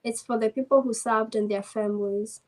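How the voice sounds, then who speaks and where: Kenyan woman, soft-spoken, young, slightly high voice, casual chat. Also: fairly even intonation, moderate pace. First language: Swahili. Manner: soft-spoken, mixes Swahili and English, laughs often. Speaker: interview guest, navy sailor.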